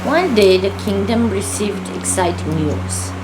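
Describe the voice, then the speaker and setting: A woman reading a fairy tale aloud as a storyteller, in a halting delivery with small stumbles. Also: mid-range voice, animated, noisy background.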